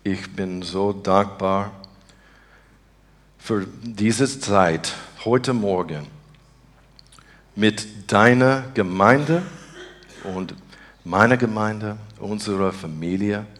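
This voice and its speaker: Male preacher, American accent, native English speaker. Very slow, halting non-native delivery, slightly low pitch, earnest and devout. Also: fairly bright tone. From Texas, United States. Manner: halting, searching for words in broken beginner German. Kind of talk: informal sermon and spontaneous prayer.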